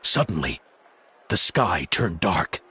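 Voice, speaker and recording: A man playing a genial, theatrical storyteller in a video game, speaking in a measured, dramatic way with deliberate pauses. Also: low voice, big pitch swings, pushed and bright, breathy edge, exaggerated voice. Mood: dramatic, reverent. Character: genial male storyteller, theatrical, fond of children.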